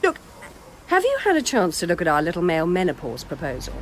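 An actress playing a bossy, sardonic documentary film-maker in a radio drama, curt and dryly scornful. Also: female voice, fairly low pitch, wildly swooping pitch, quick pace, a little hiss or hum. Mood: brisk, bossy. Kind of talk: naturalistic radio drama acting.

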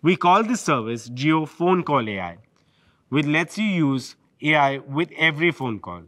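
A man's voice: fairly high pitch, expressive, measured pace, pushed and bright, dry recording. A man with an Indian English accent giving a polished, scripted product presentation from behind a lectern. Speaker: corporate presenter. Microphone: lectern microphone.

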